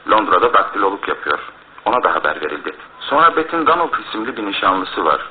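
Actor as low-voiced, sober police investigator. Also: male voice, expressive, fast talker, close to an everyday voice. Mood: matter-of-fact. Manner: matter-of-fact, reporting. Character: sober male police investigator, matter-of-fact and businesslike. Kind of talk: radio drama acting. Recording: tinny, noisy old radio drama recording.

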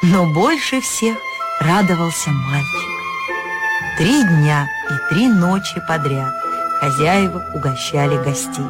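A woman narrating a folk fairy tale for an audio story, expressive and lifting her pitch on key words. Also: slow, over loud music.

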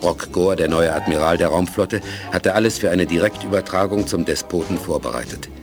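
A man's voice: sits low, fairly quick, lightly acted. A man narrating a scripted science fiction radio play as a sober storyteller. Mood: measured. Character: sober storyteller of a space adventure. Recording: music behind the voice.

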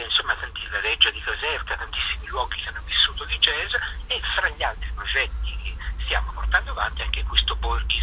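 A man speaking as an association spokesman in an informal interview, explaining a project and pausing to search for words. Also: mid-range voice, bright, forceful tone, husky, highs cut off. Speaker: spokesman of a jazz festival association being interviewed.

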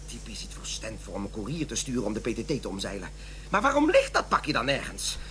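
An irritable middle-aged husband and office manager in a radio play, trying to give up smoking. His pitch swings widely as he blusters and defends himself. A faint hum and noise sit under the voice. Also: very animated, fast, fairly bright tone. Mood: irritated, snapping.

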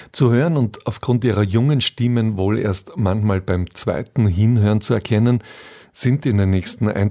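Male radio presenter, low-pitched, mellow dark tone, scripted narration. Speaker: radio presenter introducing a radio play.

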